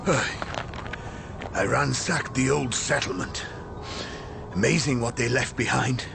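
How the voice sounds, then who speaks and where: An actor playing a frail, weary old man stranded in space, halting and emotional, in a radio drama. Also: mid-range voice, over music. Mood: wry. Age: older. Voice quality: creaky, breathy, coughing voice.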